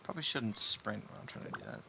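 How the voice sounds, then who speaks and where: A man's voice: lowish voice, huge pitch swings, quick pace, creaky. A man streaming a game on a headset mic, giving offhand, muttered casual commentary. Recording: noisy headset gameplay stream.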